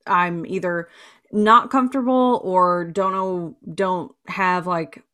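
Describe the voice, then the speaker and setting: A young woman from the American South with a low voice, chatting casually and bluntly as a podcast co-host. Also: steady tone, takes it slowly, a bit bright. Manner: blunt, swears freely, trails off with "like". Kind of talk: casual chat.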